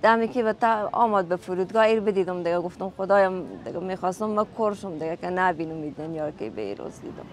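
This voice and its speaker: A middle-aged woman, the subject's mother, speaking in a rushed, emotional outpouring. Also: on the low side, a little hiss or hum. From Afghanistan. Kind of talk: emotional testimony.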